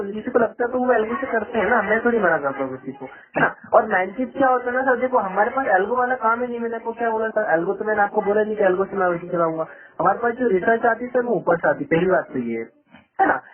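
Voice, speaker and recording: A man making an insistent, repetitive sales pitch over the phone, often adding tag questions. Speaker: trading company sales caller. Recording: muffled band-limited phone call recording.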